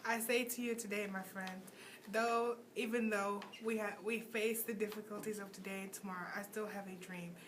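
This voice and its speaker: Flat-toned teenage girl reading over noise. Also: medium pitch, normal speed. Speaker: student reader. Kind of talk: reading a famous speech aloud. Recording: clear background noise.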